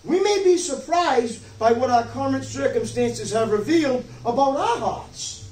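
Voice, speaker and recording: Older guy pastor preaching, pretty high voice, emphatic, echoey church, mic kinda far off. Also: expressive, unhurried, a little mellow, soft background music. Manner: emphatic, rhetorical questions, check-ins like "okay?" and "you see?". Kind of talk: sermon. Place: church sanctuary, preaching from a wooden pulpit. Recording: roomy distant mic, some background noise.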